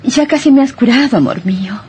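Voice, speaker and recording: An actress playing a haunted, fragile young wife in a melodramatic radio play, pleading and repeating herself. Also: female voice, on the high side, lively intonation, fairly quick, background noise, natural voice. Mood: anxious pleading. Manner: pleading, repetitive. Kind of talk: melodramatic radio drama acting.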